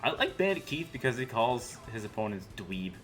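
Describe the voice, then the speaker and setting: A man's voice: mid-range voice, sing-song, a little fast, a bit bright, faint music behind. A young man casting a card game stream in joking, teasing commentary with mock-dramatic asides. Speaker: caster.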